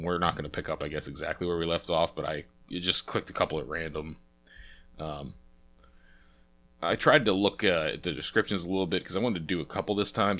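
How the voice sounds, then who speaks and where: Low-voiced stuffy-nosed man chatting through games. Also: fairly bright tone. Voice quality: sniffly, congested. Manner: chatty, rambling, lots of uh fillers. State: stuffy nose from a cold. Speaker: let's play host. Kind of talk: casual game playthrough commentary.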